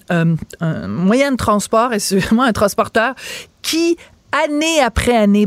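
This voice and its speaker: Passionate Québécois woman, higher voice. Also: no room sound. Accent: Québécois French. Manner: passionate, emphatic, repeats her points. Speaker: radio co-host. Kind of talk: opinionated radio debate. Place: radio studio. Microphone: studio microphone.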